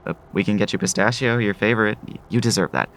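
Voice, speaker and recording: A man's voice: fairly low pitch, quick pace, fairly bright tone, slight background noise, lightly acted. A man acting in an audio drama as an eager, pushy, proud father of a young actress. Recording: music under the voice.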